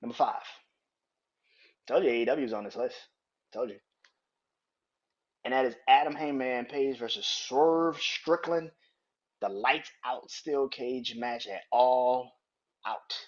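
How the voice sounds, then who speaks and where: A young man runs a casual podcast countdown, swearing freely and breaking into laughter as he gets fired up. His voice is husky and mid-pitched, and the recording sounds dull and lo-fi. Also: slow pace, long pauses. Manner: profane, excitable, laughs often. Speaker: podcast host. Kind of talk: casual ranking monologue.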